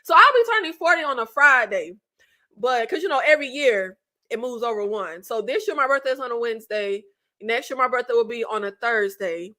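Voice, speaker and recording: A woman in her late thirties hosts her own channel and talks straight to her viewers in a loose, chatty way. Her voice sits high and sounds bright and sharp. She runs on in slangy asides and laughs often. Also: animated, brisk. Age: 30s. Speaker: channel host. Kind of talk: casual chat to viewers.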